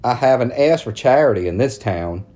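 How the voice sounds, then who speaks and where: A man voicing a calm, guarded rural mother who speaks mildly and plainly. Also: medium pitch, lightly acted. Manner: mild, measured, plain country speech.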